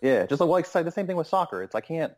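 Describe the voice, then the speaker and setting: A man's voice: fairly high pitch, sing-song, very fast, darkish tone. A man in his thirties from Pittsburgh, a podcast guest chatting casually and rambling with many ums. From Pittsburgh, USA. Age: 30s.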